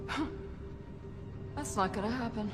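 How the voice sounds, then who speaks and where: An actress playing an obsessive, hostile scientist in a dramatic video game, volatile and defiant. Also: female voice, a little high, measured pace, loud music behind the voice, close to an everyday voice. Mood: scornful.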